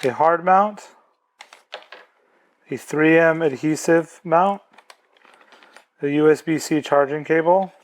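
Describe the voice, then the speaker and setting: Slightly higher-voiced man giving product instructions. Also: slow, pauses often. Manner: step-by-step, plain explaining. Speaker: product presenter.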